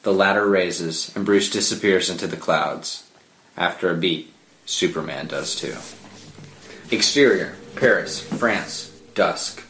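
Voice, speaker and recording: A man narrating screenplay stage directions as scripted narration, with film-score music behind him. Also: low-pitched. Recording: echoey room with music under the voice.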